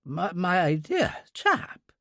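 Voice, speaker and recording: A man's voice: fairly high pitch, big pitch swings, measured pace, no echo, clean studio sound, pitched above the performer's own voice, exaggerated voice. A man voicing a genteel, easily flustered male professor with old-fashioned, effusive manners in an audiobook story. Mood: flustered, moved.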